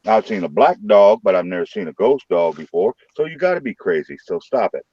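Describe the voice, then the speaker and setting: A man with a St. Louis accent hosting a late-night live stream from his room, joking loudly and swearing freely. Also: lowish voice, animated, fairly quick, no echo, highs cut off. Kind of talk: casual live stream chat.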